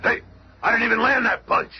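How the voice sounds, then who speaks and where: An actor playing a gruff, cocky, rock-skinned brawler with blustery, slangy wisecracks in a 1970s superhero radio drama. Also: male voice, very high-pitched, fairly quick. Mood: indignant. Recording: reverberant, very noisy old radio recording.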